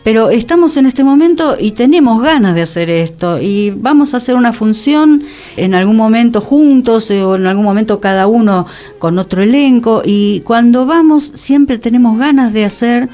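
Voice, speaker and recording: A woman's voice: fairly high pitch, expressive, moderate pace, mellow. A woman with a Rioplatense Argentine accent, talking casually and earnestly as co-host of a radio show.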